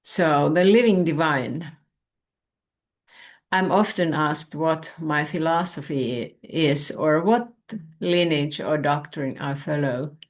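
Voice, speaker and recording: Woman, Finnish native speaker, spiritual channel host; low, unhurried, contemplative delivery with gravelly texture. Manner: calm, measured, contemplative. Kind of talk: reflective spiritual talk.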